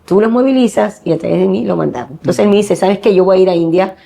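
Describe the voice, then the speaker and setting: A woman's voice: mid-range voice, fast talker, gravelly, mellow. A middle-aged woman from Ecuador, a missionary guest giving earnest personal testimony and acting out the dialogue she quotes.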